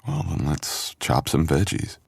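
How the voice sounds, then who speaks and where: Very deep-voiced, teasing boyfriend played by a man. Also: sing-song, measured pace, vocal fry, darkish tone, a little hiss or hum, exaggerated voice. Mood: playful, gentle. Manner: playful, teasing, intimate. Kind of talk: boyfriend ASMR roleplay audio acting.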